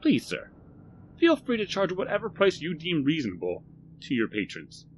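A man voicing an eerie, overly formal little male stranger who speaks slowly and precisely. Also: fairly high pitch, huge pitch swings, a little hiss or hum, pitched above the performer's own voice. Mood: measured.

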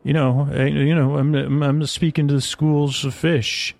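A man's voice: a little high, level tone, measured pace, creaky, a little mellow, no room sound. A man hosting a sleep podcast, rambling through a bedtime monologue in a hesitant, meandering way, often interrupting himself and trailing off into tangents.

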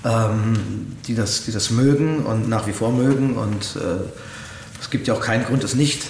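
A man's voice: lowish voice, darkish tone. Man in a roomy interview, searching for words. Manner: hesitant, searching for words, halting with pauses. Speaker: interview guest, audio drama voice actor. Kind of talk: reflective interview answers. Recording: roomy echo with faint background noise.

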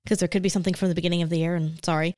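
A woman's voice: lowish voice, level tone, rapid-fire, a little mellow, dry recording, clean studio sound. A woman co-hosting a podcast, talking in an easygoing, agreeable way. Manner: easygoing, agreeable, backchannels a lot. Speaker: podcast co-host. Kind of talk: casual chat.

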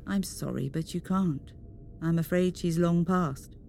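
Woman acting a mellow, reassuring future official. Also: measured pace. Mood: apologetic. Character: calm, reassuring female official in dystopian future. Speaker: witness care officer. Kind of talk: audio drama acting. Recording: clear background noise with low rumble.

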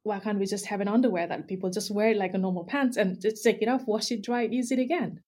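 A woman from Nepal, a company founder, talking as a guest in a relaxed, hesitant way with many restarts. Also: mid-range voice, quick pace. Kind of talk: casual interview chat. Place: industrial area, indoors.

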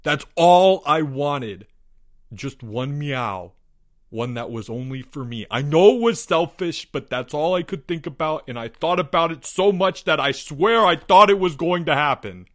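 A man's voice: a little high, animated, unhurried, a bit bright, voice pushed up. Man voicing a stammering, frightened, guilty schoolboy. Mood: choked, confessing. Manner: stammering, pleading, wailing. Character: frightened, guilt-ridden schoolboy pleading his innocence.

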